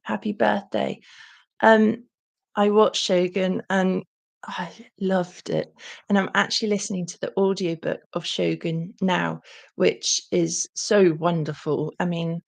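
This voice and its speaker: A young woman hosting a casual livestream Q&A, with a croaky voice and a chatty manner full of ums. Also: fairly low pitch, level tone, unhurried. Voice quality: croaky, gravelly. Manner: chatty, warm thanks, frequent ums. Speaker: channel host.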